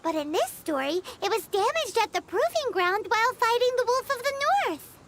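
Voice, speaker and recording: A woman voicing a tiny, excitable floating girl companion with a bubbly way of talking. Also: very high-pitched, normal speed, background noise. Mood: curious. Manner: bubbly, refers to herself in third person.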